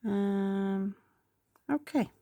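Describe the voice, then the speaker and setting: A woman's voice: mid-range voice, drawn-out words, mellow, dry recording, clean studio sound. A woman chatting casually while planning, congested and with a sore throat, rambling and sometimes murmuring to herself. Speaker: planner vlogger.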